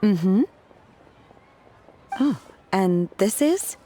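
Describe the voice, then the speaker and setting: A woman's voice: mid-range voice, expressive, extremely slow, very noisy. A woman voicing a reserved young restaurant server in a video game, blunt and stiffly polite. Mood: matter-of-fact.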